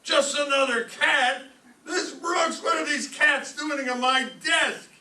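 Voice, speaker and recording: A man's voice: very high-pitched, steady tone, slow pace, bright, forceful tone, heavily acted. A man playing a bossy, blustering, easily flustered school principal in a radio comedy. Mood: flustered, shouting. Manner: officious, curt, exasperated. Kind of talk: old-time radio comedy acting. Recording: echoey room, distant microphone, faint noise.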